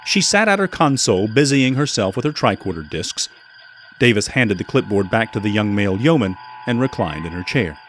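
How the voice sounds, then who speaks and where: A man's voice: fairly low pitch, very animated, a little fast, fairly bright tone, slight background noise. A man reading scripted narration for an audio drama.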